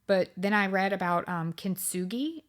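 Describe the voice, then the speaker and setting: A woman's voice: mid-range voice, normal speed. A woman in her thirties hosting a podcast, talking reflectively in casual chat with many fillers and restarts. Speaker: podcast host.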